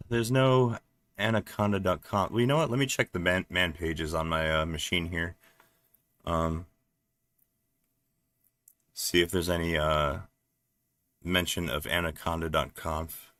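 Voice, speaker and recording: A man from New Jersey with a deep voice, chatting casually and haltingly on a live stream while he works at his computer, with lots of fillers. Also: a bit bright, no echo. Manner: rambling, halting, many fillers, laughs often. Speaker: livestreaming developer.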